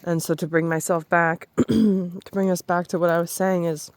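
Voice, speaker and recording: A woman talking slowly and softly in a reflective spiritual vlog, pausing and restarting often, with a slightly raspy voice, alone in a forest. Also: lowish voice, flat delivery, no echo. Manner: soft, slow, hesitant, with many restarts. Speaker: spiritual vlogger.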